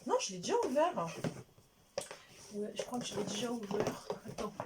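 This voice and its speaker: A woman's voice: medium pitch, lively intonation, normal speed, heavy background noise. A woman chatting casually as she unboxes beauty products, rambling and interrupting herself, sometimes dropping to a near-whisper. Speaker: beauty vlogger.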